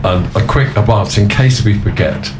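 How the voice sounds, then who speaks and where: Rambling radio host, low voice. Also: male voice, normal speed, noisy background. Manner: rambling, punning, chuckly. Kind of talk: casual on-air chat. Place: venue radio studio. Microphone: omnidirectional studio mics.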